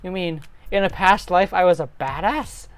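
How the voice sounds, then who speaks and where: A reader putting on a young farm boy turned reluctant hero. He is skeptical and blunt, questioning every offer in a fantasy tale. The voice sits at a medium pitch and sounds close and dry. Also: normal speed, smooth, no room sound, natural voice. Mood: incredulous.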